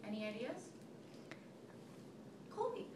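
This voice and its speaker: A woman's voice: a little high, very animated, takes it slowly, a little mellow. A woman teacher reading a picture book aloud to a child, telling it expressively and stopping to ask questions. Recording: echoey room, recorded from far off, noisy.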